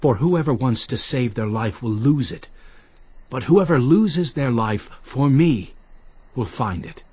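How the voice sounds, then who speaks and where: An actor playing a commanding, earnest male teacher in a dramatized audio Bible, speaking emphatically and deliberately. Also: medium pitch, expressive, husky, no echo, muffled recording. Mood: earnest, exhorting.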